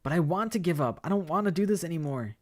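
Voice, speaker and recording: Brief: man doing a high, strained young woman, broken and pleading. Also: animated, quick pace, natural voice. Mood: desperate. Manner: shaky, pleading and worn out. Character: despairing young woman trapped in a time loop.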